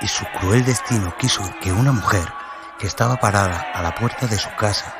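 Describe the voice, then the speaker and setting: Story narrator: male, deep-pitched, solemn and dramatic, reading over loud background music. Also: brisk.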